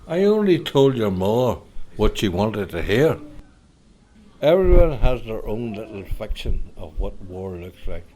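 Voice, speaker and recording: A man playing an elderly war veteran grandfather in a radio drama, sombre and bitter, speaking in a grave, reflective, deliberate way. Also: on the low side, very animated, warm tone, rough recording. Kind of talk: amateur radio drama monologue.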